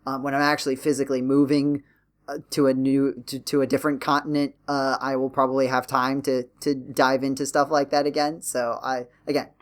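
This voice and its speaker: A man's voice: mid-range voice, flat delivery, fairly quick. A man hosting a podcast, talking casually about video games in a wry, rambling way and often clarifying himself. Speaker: podcast host. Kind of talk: casual video game chat.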